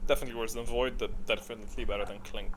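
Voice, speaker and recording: A man's voice: on the low side, steady tone, rapid-fire, noisy background. A man hosting a video in casual, unscripted gaming commentary, speaking in a blunt, opinionated way. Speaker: video host ranking game voice lines.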